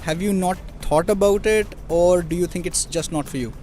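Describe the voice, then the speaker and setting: A man's voice: high voice, mellow, slight background noise. A man interviewing young people outdoors on a city street. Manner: brisk questioning, switches between English and Hindi. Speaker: street reporter. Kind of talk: vox pop interviewing.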